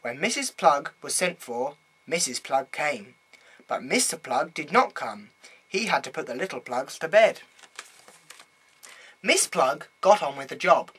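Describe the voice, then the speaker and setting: A man reads a children's story aloud with plenty of dramatic emphasis. His voice is bright and a little rough-edged, and his pitch moves around a great deal as he goes. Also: big pitch swings, unhurried. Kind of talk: expressive children's bedtime story reading.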